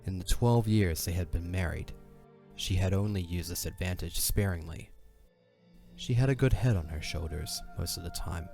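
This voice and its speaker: A man's voice: sits low, normal speed, vocal fry, a little mellow. A man narrating an audio drama as a scripted story. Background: faint music.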